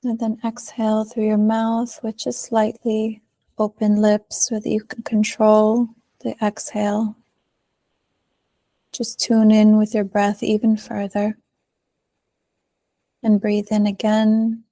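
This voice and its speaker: A woman leading a guided meditation in a soothing manner, pausing often, with soft phrase endings. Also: medium pitch, completely flat delivery, no echo. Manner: slow, soothing delivery with long pauses.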